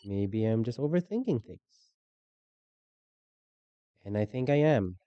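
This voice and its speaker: A man's voice: medium pitch, animated, a little slow, dry recording, dull audio. A man giving casual live gameplay commentary, musing aloud and repeating his phrases. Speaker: game streamer. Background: game music.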